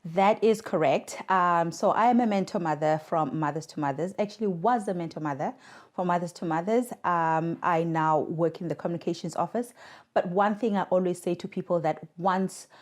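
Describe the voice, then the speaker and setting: A woman's voice: on the low side, moderate pace. A South African woman in her thirties, a podcast guest telling her own story in an earnest, reflective way over a remote connection. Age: 30s. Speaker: guest, communications worker for a mothers' charity. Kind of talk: podcast interview, personal story. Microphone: remote recording.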